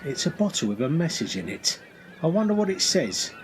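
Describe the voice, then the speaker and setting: An eager, naive castaway played by a man speaks in a swooping, fairly high voice. He sounds hopeful, in a radio comedy with faint outdoor ambience. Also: sing-song, fairly quick, slight background noise. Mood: excited.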